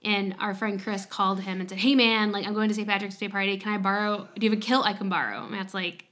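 A woman hosting a casual podcast, chatty and quick to laugh. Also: medium pitch, fairly even intonation, fairly bright tone. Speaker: podcast host.

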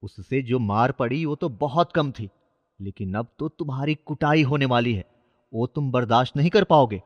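A man's voice: medium pitch, normal speed, close to an everyday voice. A man voicing a helpful, righteous talking magic umbrella in a children's cartoon, declaiming with self-assurance. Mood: scolding. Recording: muffled, with long reverb.

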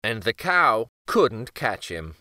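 Brief: man narrating a kids' storybook, slow and clear, bright voice with a lot of melody. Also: fairly low pitch, no echo, clean studio sound. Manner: expressive, deliberate storytelling. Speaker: children's book reader. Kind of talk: storybook read-aloud.

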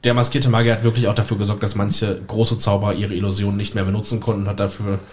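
A man's voice: fairly low pitch, level tone, quick pace, darkish tone, slight background noise. A man chatting casually as a guest magician on a podcast, telling stories. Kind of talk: casual podcast chat, anecdotes.

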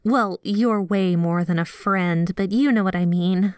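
A woman's voice: medium pitch, unhurried, clean tone, no room sound, clean studio sound, close to an everyday voice. A woman playing a sweet, obsessively devoted yandere girlfriend in a scripted ASMR roleplay, gushing and bashful as she speaks to the listener. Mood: tender, nostalgic. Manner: gushing, giggly, teasing, bashful asides.

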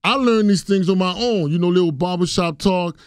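A man's voice: high-pitched, brisk, dry recording. Middle-aged guy from haiti on a studio mic, slangy, keeps saying you know what i'm saying, dry sound. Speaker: guest. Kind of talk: casual interview talk. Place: radio studio. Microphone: studio mic on boom arm.

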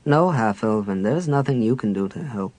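A man voicing a proud, reserved male dark elf mage who speaks low, quiet and measured. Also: animated, no echo.

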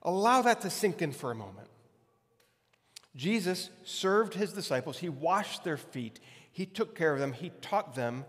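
A man in his forties preaching a conversational sermon as a pastor, with emphatic repetition and deliberate pauses. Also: on the high side, big pitch swings, a bit bright. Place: large hard-walled church hall, on stage. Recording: long hall reverb.

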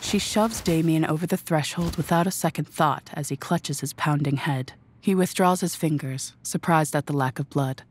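A woman's voice: deep voice, moderate pace, husky. A woman reading scripted narration for an audio drama.

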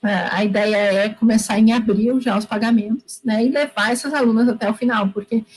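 Brazilian woman lecturer on a video call, bit high voice, earnest and animated, faint hum behind. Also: brisk, low-quality recording. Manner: earnest, animated, frequent tag questions. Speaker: guest speaker, university lecturer. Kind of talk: conversational talk answering a question. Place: home room with bookshelves. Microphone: laptop or webcam mic on a video call.

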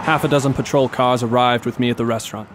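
An actor playing a dogged hard-boiled detective, narrating a comic noir radio play with deadpan drama. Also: male voice, mid-range voice, fast talker, background noise, lightly acted. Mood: grim deadpan.

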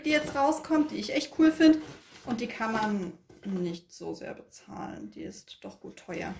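A woman's voice: a little high, background noise. A woman co-hosting an unboxing, chatting casually and giving product background from inside a room. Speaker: unboxing co-host. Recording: echoey room.

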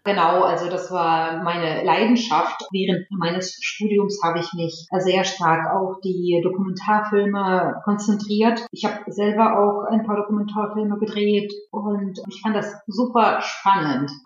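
Russian-born woman guest, calm and measured, very echoey room, dull muffled sound. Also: mid-range voice. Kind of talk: interview answers.

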